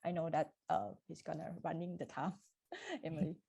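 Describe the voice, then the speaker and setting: A woman's voice: low-pitched, steady tone, moderate pace, creaky, dark tone, husky, no echo, studio quality. A woman giving an unscripted panel answer in loose, filler-strewn phrasing. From Thailand. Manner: loose phrasing with frequent 'like'. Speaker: activist panelist.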